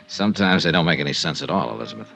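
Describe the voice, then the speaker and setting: Low, gravelly hard-boiled investigator. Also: male voice, lively intonation, fast, bright, forceful tone, heavily acted. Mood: intimate, probing. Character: smooth, wry, hard-boiled insurance investigator and narrator. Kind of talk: 1950s radio detective acting and first-person narration. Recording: old radio drama with music behind.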